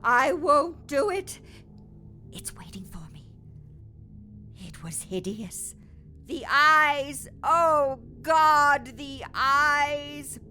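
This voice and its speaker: A high-pitched, demented old woman. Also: expressive, bright, forceful tone, soft background music, heavily acted. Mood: haunted dread. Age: older. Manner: halting, fragmented, dramatic muttering and crying out. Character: feeble, terrified, demented elderly woman patient, eerily unhinged. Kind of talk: audio horror drama acting.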